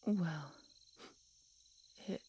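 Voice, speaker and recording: A woman playing an ancient, mortally wounded female dragon in an audio roleplay, breathy and halting, tender through weakness and pain. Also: mellow, slight background noise. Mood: pained, grave. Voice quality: breathy, whispery. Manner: halting, weakened, pained delivery with dramatic pauses. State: weak and in pain. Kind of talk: dramatic audio roleplay addressed to listener.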